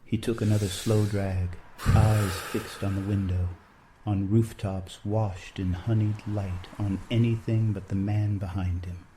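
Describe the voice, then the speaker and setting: A man narrating a scripted literary story in a measured, solemn manner. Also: lowish voice, flat delivery, noisy background.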